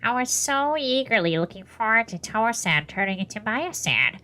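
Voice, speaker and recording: A woman voicing a sly, theatrical male official in a visual novel, with playful mock politeness and drawn-out emphasis. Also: on the high side, very animated, sharp tone, soft background music, voice pushed up. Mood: mock regret. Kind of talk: visual novel character reading.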